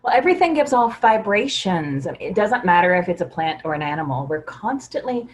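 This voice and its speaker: A woman's voice: fairly low pitch, big pitch swings, a little fast, a little hiss or hum. A woman in a staged play reading, playing a self-assured, preachy, earnest believer in energies. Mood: preachy, certain.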